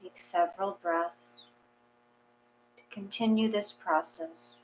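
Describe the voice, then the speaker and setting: A woman reading a guided meditation in a gentle, measured, calming way. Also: medium pitch, low-quality recording. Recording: clear mains hum.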